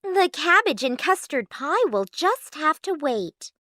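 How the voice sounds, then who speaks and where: A woman playing a gentle, timid, put-upon young heroine in a storybook, polite and wistful. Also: high-pitched, very animated, slow, no room sound, clean studio sound, pitched above the performer's own voice, exaggerated voice. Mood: weary.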